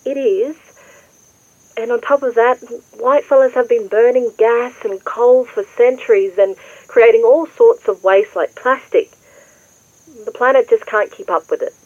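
An actress playing a patient, earnest adult Australian daughter in an audio drama, explaining things clearly. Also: female voice, fairly high pitch, measured pace, darkish tone, no echo, lo-fi sound. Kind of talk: naturalistic audio drama dialogue.

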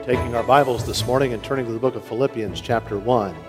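A man preaching a sermon as pastor, earnest, slowing down to stress key words. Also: medium pitch, steady tone, over loud music. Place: church sanctuary. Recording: long reverb of a large hall.

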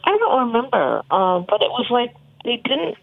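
A chef from Michigan talking casually as an interview guest, relaxed and rambling, with many ums and restarts. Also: medium pitch, normal speed, vocal fry, crowd noise. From Michigan, USA. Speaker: chef and cookbook author, guest. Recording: tinny phone line, dry.

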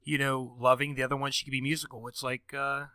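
A man's voice: mid-range voice, little pitch movement, fast, sharp tone, clear, resonant tone, no echo, studio quality. A man co-hosting a podcast, teasing and rambling in casual banter. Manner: rambling, teasing, lots of fillers and restarts. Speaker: podcast co-host.

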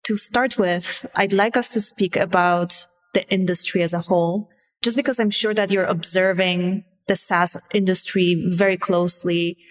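A woman hosting a business podcast, delivering a scripted intro and interview questions in a polished, measured way. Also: lowish voice, low-quality recording. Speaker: podcast host.

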